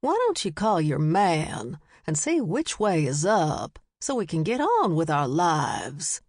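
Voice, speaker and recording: A woman's voice: sits low, very animated, darkish tone, dry recording, clean studio sound, exaggerated voice. A woman voicing a bossy, condescending small-town woman in an audiobook. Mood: bossy, insistent. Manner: slow, drawn-out, condescending.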